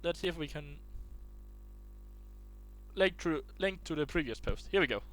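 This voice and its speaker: A man's voice: fairly high pitch, expressive, a little slow, a bit bright, poor audio. A man reading online posts aloud in a casual way, stumbling and restarting words as he goes.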